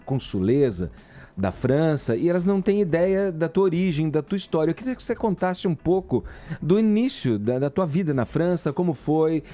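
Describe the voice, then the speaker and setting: Mellow male radio host. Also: on the high side. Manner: conversational, prompting questions. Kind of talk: radio interview.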